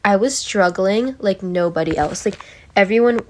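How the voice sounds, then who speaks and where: A woman's voice: mid-range voice, moderate pace, slight background noise. A teenage girl being interviewed as a podcast guest, rambling with many fillers and telling stories animatedly. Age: teens. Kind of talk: casual chat.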